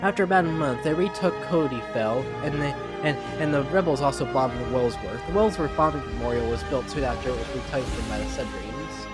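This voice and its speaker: A man's voice: mid-range voice, brisk, hardly any pauses. Man narrating a story over music. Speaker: narrator and reader of a fan story. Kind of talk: amateur dramatic reading, narrated story. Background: background music.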